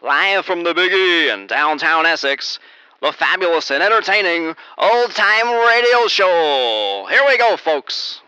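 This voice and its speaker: A man's voice: a little high, big pitch swings, a little slow, sharp tone, smooth, muffled recording. A man announcing a show in an old-time showman style.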